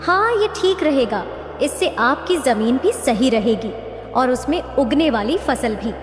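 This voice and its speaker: A woman's voice: high voice, expressive, measured pace, slight background noise. A woman playing a supportive, caring village wife in a cartoon story, polite and encouraging. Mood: attentive, helpful. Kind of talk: Hindi moral-story cartoon voice acting. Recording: echoey reverb with faint music.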